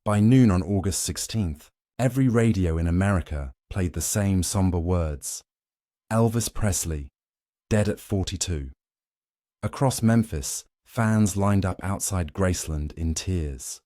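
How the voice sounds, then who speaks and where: A man's voice: sits low, mellow, dry recording, clean studio sound. A man narrating a scripted dramatic story in a solemn, suspenseful way, in short weighted phrases.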